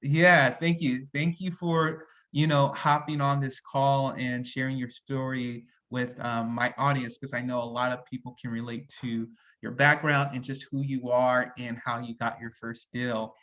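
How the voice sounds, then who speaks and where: A man's voice: medium pitch, flat delivery, a little slow, hardly any pauses, a bit bright. Male host on a muffled video call. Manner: encouraging, backchannels often. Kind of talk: casual video-call interview.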